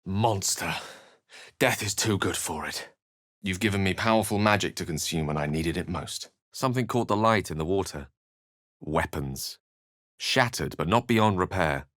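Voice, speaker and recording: An actor playing a learned, courteous and wry wizard with a touch of melancholy, speaking in articulate, theatrical phrases for a video game. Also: male voice, deep voice, normal speed, fairly bright tone, no echo, clean studio sound. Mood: stern, then grave, wry. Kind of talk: video game character lines and narration.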